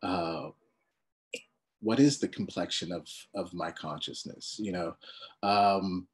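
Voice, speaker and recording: A man speaking as a panelist in a reflective discussion, halting and searching for words with many restarts. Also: sits low.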